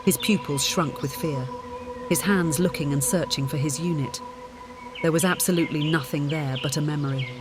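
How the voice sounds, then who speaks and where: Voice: mid-range voice, normal speed, over music. An audiobook narrator reading a scripted story in the third person.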